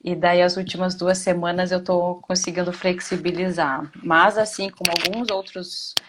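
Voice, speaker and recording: A woman's voice: lowish voice, little pitch movement, normal speed, heavy background noise. A woman teacher with a Rio Grande do Sul accent, chatting casually on a video call with frequent hesitant restarts. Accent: Rio Grande do Sul Portuguese. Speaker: administration teacher. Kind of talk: casual live class chat.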